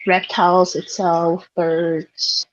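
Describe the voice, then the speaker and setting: A woman's voice: lowish voice, level tone, extremely slow, dry recording. A young woman from Switzerland, a guest in a casual podcast chat, telling stories in a chatty way and laughing often. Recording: dull lo-fi sound.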